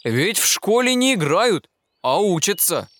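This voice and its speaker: A man's voice: high-pitched, sing-song, a little slow, fairly bright tone, heavily acted. A man voicing a cautious male mole, a school watchman in a children's storybook, who asks worried, hesitant questions. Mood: doubtful. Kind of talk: storybook character voice.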